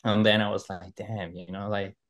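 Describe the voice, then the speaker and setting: A man's voice: lowish voice, little pitch movement, moderate pace, a bit bright. A man from Venezuela, a podcast guest who rambles with lots of fillers and restarts. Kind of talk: casual podcast interview.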